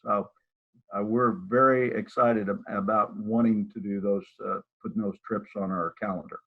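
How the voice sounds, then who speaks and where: An older man with a low voice talks casually on a video call, rambling and restarting his sentences. The audio comes through thin and tinny, like a phone line. He sounds like a broker chatting about his plans and his office. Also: fairly even intonation, moderate pace. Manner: rambling, with many restarts and fillers. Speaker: real estate broker and office manager. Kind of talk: casual interview over a live video call.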